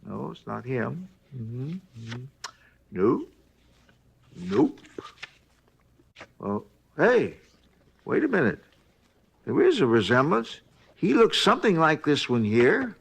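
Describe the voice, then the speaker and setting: Brief: folksy night clerk witness, gravelly, lively sing-song delivery, slightly high. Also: male voice, takes it slowly, pauses often, slight background noise, heavily acted. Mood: sleepy. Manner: rambling, plain ungrammatical speech. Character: folksy talkative male hotel night clerk. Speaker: robbery witness. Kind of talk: 1950s radio drama acting.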